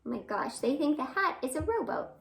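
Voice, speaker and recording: A woman's voice: sits high, sing-song, brisk, vocal fry, a little hiss or hum. A woman hosting storytime for young children, reading aloud expressively with exclamations and questions to her listeners. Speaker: children's storytime host at a library. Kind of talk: animated read-aloud for young children with sung greeting.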